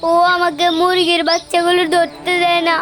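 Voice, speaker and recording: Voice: very high-pitched, completely flat delivery, brisk. A performer voicing an eager, headstrong young boy in a kids' cartoon, childlike and insistent. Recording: music behind the voice.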